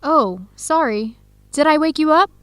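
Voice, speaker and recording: A hopeful young woman in an educational radio drama. Her voice sits a little high, and she delivers each line clearly and deliberately. The recording is close and dry. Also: lively intonation, no echo. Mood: apologetic, friendly. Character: young Ethiopian-American woman exploring Ethiopia, earnest and hopeful.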